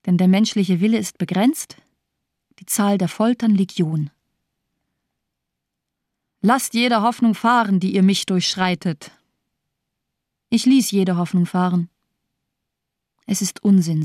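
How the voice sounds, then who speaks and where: Low-voiced, cynical woman doctor. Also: dark tone, no room sound, studio quality. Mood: bleak, resigned. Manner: declamatory, bleak, disdainful. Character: cold, cynical, bitterly nihilistic female doctor. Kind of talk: German radio crime drama monologue.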